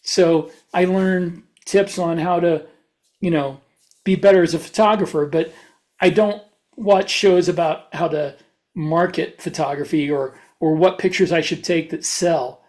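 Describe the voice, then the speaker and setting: A man with a noticeably high voice chats as a podcast co-host. His delivery is casual and conversational, and he comes across as blunt and opinionated. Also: steady tone, unhurried, a little mellow.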